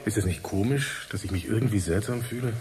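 Man acting a deep, mellow, pensive scientist. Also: soft background music, close to an everyday voice. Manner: reflective, gentle, measured. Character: pensive, fatherly male research scientist. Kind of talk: German radio drama acting.

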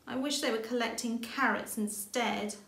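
Eager young girl rabbit for children's storybook female reader acting, excitable squeal, high pitch. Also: moderate pace, reverberant. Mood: bored, wanting play.

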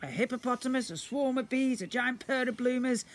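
Man voicing an excitable high-pitched slime creature. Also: a little fast. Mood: grand. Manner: grandiose, playful, exclaiming. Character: excitable, theatrical shapeshifting slime blob creature. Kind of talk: children's storybook character voice. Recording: noisy outdoor handheld recording.

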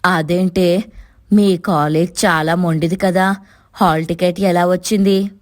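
A soft, plaintive older mother, slow and tired in her speech, as a male narrator puts her on. She sits a little above his usual pitch, gentle and low-key. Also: little pitch movement, no room sound, studio quality. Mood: puzzled.

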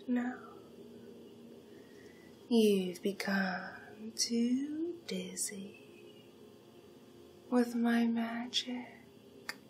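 A woman as a slow whispering vampire. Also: fairly high pitch, a little hiss or hum. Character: seductive female vampire feeding on the listener. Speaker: ASMR roleplay performer. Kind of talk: ASMR roleplay. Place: dark room with backdrop.

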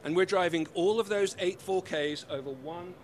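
An upbeat man in his forties with a slightly mixed British accent, pitching his company's gear in a lively, salesy way. His voice sits a little high for a man and comes through a handheld mic on a busy convention floor. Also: moderate pace, a little hiss or hum. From United Kingdom. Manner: upbeat, salesy, gesturing while explaining. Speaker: company representative. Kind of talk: trade-show interview. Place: busy convention hall booth. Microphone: handheld mic held by interviewer.

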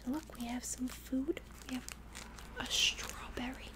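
A young woman in an ASMR roleplay as a childcare worker, talking gently and simply to a small child with lots of questions. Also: sits high, fairly even intonation, a little slow. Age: young adult. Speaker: ASMR creator playing a preschool childcare worker.

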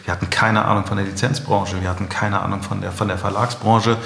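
Middle-aged man, low creaky voice, reflective interview talk. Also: level tone, quick pace, a bit bright. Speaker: interview guest, company founder. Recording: echoey, reverberant room.

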